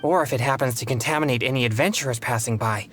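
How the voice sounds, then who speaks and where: A man's voice: medium pitch, fairly bright tone, lightly acted. Game role: earnest teen boy exorcist male actor, mid-range voice, courteous formal delivery, music behind. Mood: alert, cautious. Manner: formal, measured, earnest phrasing. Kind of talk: video game voice acting.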